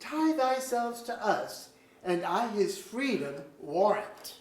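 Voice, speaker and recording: A woman's voice: medium pitch, very animated, slow pace, darkish tone. A woman playing a scheming, courtly earl in a staged reading of an archaic verse play, speaking in formal declamatory diction. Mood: persuasive, conspiratorial. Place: museum auditorium staged reading. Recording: echoey auditorium.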